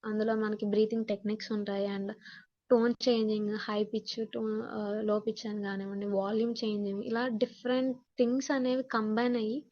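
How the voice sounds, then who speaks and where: A woman's voice: medium pitch, flat delivery, a little fast. A woman with a Telugu accent teaching a class, explaining points and switching between Telugu and English, in a dull, muffled recording. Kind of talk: online class lecture, reading points in English then explaining.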